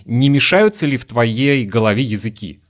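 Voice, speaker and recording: A man from Lithuania, a native Lithuanian speaker, hosting a relaxed interview podcast and asking long, searching questions. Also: medium pitch, normal speed. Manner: asks long, searching questions and shares his own stories. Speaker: podcast host.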